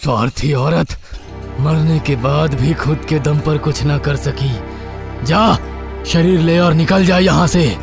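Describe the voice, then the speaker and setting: An actor playing a bitter, resentful, accusing man in a horror story, with a gravelly voice. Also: a little high, slow pace, music in the background. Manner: accusing, dramatic. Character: bitter, resentful man confronting his dead lover's ghost. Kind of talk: horror audio story acting.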